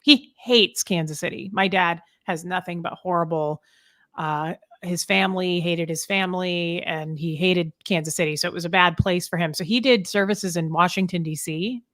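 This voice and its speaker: Brief: woman host, lower voice, chatty and candid, telling personal stories. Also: moderate pace, fairly bright tone. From American Midwest. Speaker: podcast host. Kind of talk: casual conversation.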